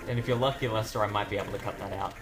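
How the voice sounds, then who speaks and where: A man's voice: lowish voice, steady tone, very fast, very noisy. A man giving casual gaming commentary as a let's play host, rambling off on tangents as he plays.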